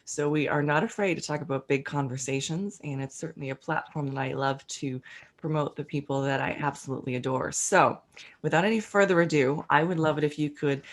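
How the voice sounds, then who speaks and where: A woman's voice: sits low, brisk. A woman hosting a podcast, welcoming her guest with eager enthusiasm. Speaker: podcast host. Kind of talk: casual podcast interview.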